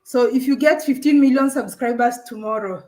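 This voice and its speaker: A woman's voice: fairly high pitch, a little fast, warm tone. A woman hosting a live stream, chatting casually with a caller and laughing often while she unboxes a camera.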